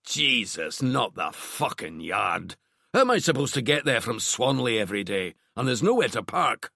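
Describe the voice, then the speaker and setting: A man's voice: medium pitch, sing-song, moderate pace, gravelly, sharp tone, no room sound, clean studio sound, full character voice. Man doing a blunt Glaswegian-Londoner detective. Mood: sarcastic, grumbling. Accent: Glaswegian tinged with London. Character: booming, blunt, sarcastic male veteran detective.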